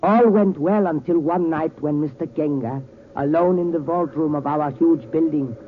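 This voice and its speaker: An actor in a 1940s radio drama playing a courteous Tibetan gem importer who tells tales in formal, measured, precise speech. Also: male voice, on the high side, a little hiss or hum, close to an everyday voice. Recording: tinny 1940s radio recording.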